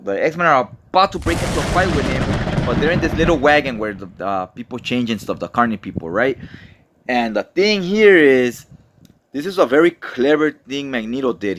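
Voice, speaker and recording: A man's voice: medium pitch, a bit bright. Casual man narrating a comic recap. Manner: informal, slangy, conversational storytelling with rhetorical asides. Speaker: host of a comic book recap show.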